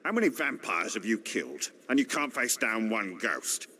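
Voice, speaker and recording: Scornful vampire hunter, mid pitch, hugely varied intonation, brusque game acting, background noise. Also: male voice, very animated, moderate pace, sharp tone. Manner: mocking, brusque. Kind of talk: dramatic video game acting.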